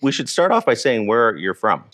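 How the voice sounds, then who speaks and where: A man's voice: medium pitch, big pitch swings, normal speed, fairly bright tone. A man hosting a casual interview, asking easygoing questions that often restart midway. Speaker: host, interviewer.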